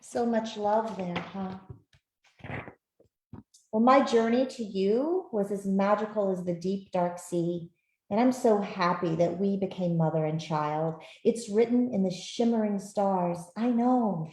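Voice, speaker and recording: A woman reading a picture book aloud to children in a gentle, expressive storytelling manner. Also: medium pitch, unhurried. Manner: gentle, expressive, with soft asides to listeners. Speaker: author reading her own picture book. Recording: roomy, echoey sound.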